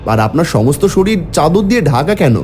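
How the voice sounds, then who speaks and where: Male cartoon horror narrator: dark warm timbre, highly expressive pitch, theatrical first-person storytelling. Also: fairly quick. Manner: theatrical, exclamatory in dialogue.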